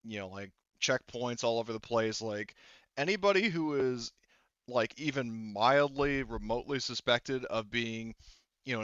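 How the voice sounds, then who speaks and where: A man with a low, smooth voice runs a tabletop game as game master. He talks conversationally, rambling through his narration with lots of fillers and casual swearing. The sound is dry and close, a little dull. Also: a bit bright, no echo.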